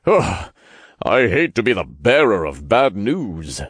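A man's voice: medium pitch, wildly swooping pitch, normal speed, husky, no room sound. A man voicing a weary, apologetic male archangel with a sighing, fussy way of complaining, in a fantasy audiobook.

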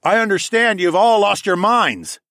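A man's voice: medium pitch, expressive, fairly quick, a bit bright, no room sound, studio quality, pitched above the performer's own voice, lightly acted. A man playing a defiant, sardonic male hero who argues and snarls in a fantasy audiobook. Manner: snarling, mocking, argumentative.

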